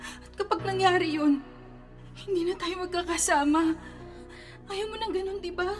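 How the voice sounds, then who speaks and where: Radio-play horror: a rotting young woman back from the grave, begging in a very high, thin voice that stays eerily calm. Music hangs clearly behind her. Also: normal speed. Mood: pleading. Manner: soft pleading, eerily calm. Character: young woman risen from the grave, rotting, craving raw flesh. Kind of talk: radio drama acting.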